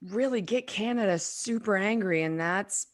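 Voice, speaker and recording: A woman's voice: medium pitch, normal speed, a loud crowd. A woman with a North American accent co-hosting a talk show in casual, playful, exclamatory banter.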